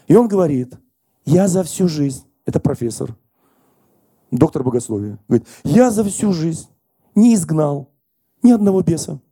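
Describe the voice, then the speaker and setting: Middle-aged guy preaching, mellow voice, dry sound, talks to the crowd like a chat. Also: fairly high pitch, normal speed, pauses often, slightly rough, dry recording. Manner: conversational, animated storytelling to congregation. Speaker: pastor. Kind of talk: sermon.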